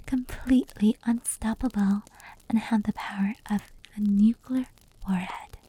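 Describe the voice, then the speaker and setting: Whispered ASMR, woman acting as gentle female fox demon, very mellow, breathy, unhurried, intimate storytelling. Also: medium pitch, rough recording. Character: gentle female fox-demon yokai telling old legends.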